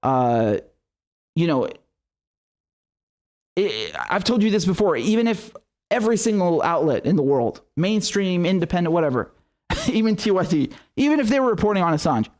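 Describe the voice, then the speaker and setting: A young man from Syria hosting a live political commentary stream, ranting passionately and swearing between quick asides. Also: high voice, very animated, darkish tone.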